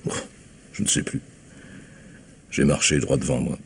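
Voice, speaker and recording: An actor playing a rattled, defensive crooked lawyer being questioned in a radio mystery, agitated and hesitant. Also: male voice, very deep voice, expressive, measured pace, vocal fry, husky, full character voice. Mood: uneasy, defensive. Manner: agitated, hesitant, self-justifying. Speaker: actor in a radio crime drama. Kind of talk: French radio mystery acting.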